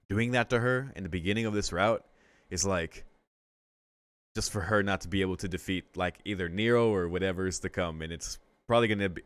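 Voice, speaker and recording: A young man with a lowish voice reads a visual novel aloud and breaks off into casual, slangy reactions. The sound is dry and close, with no room echo, and his talk is loose and excitable. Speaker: streamer reading a visual novel.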